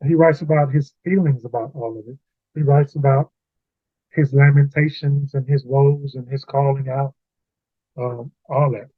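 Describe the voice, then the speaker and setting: A man's voice: medium pitch, steady tone, moderate pace, dry recording. A man co-hosting a casual scripture discussion, explaining passages to the listeners. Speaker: co-host of a Bible read-along discussion. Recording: narrow phone-like sound, very dry.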